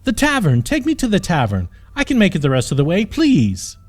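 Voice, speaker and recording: Man putting on a whiny spoiled young princess, pitched high. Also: wildly swooping pitch, fairly quick, heavily acted. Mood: pleading. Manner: whiny, haughty, insistent. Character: spoiled, headstrong young princess, indignant and pleading.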